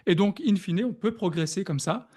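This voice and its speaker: A man with a Belgian French accent talks as a podcast guest, measured and reflective, often pausing on fillers. His voice sits a little high but sounds warm and mellow. He explains ideas patiently, building them up step by step. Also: breathy edge. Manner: reflective, hesitant with frequent fillers. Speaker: productivity coach, guest. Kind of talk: podcast interview.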